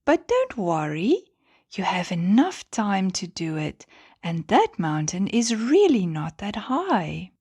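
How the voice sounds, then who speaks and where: A woman voicing a kind, playful female star who gives gentle encouragement in a children's bedtime story. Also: mid-range voice, very animated, slow, breathy edge, no echo, exaggerated voice. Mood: cheerful. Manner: reassuring.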